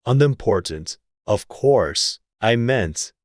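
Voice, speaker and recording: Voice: medium pitch, expressive, slow pace, darkish tone, no echo, studio quality. A narrator voicing a pompous, blustering and muddled king in a storybook, with an emphatic manner.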